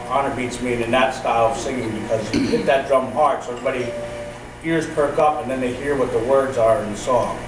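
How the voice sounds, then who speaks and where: A man at a middle pitch explains drum traditions to a group. He rambles and uses fillers. The sound is distant and echoey, with steady noise in the background. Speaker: drum leader.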